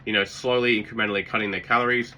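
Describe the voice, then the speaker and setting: A man with a sore throat giving relaxed, rambling fitness advice, full of fillers and restarts. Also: lowish voice, racing, a little hiss or hum. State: sore throat, occasional coughs. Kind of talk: casual advice talk.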